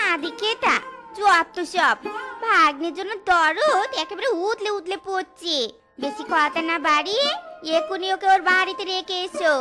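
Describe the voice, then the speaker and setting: A woman voicing a cruel, scheming, sharp-tongued aunt in a cartoon tale, with dramatic scolding delivery. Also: extremely high pitch. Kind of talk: exaggerated cartoon voice acting.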